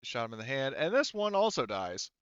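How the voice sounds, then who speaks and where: A man's voice: medium pitch, big pitch swings, fairly quick, lo-fi sound, heavy background noise. A man acting as game master, narrating the action and working through rules in an informal, exclamatory way. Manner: informal, exclamatory, swears freely. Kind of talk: casual tabletop game narration and rules adjudication.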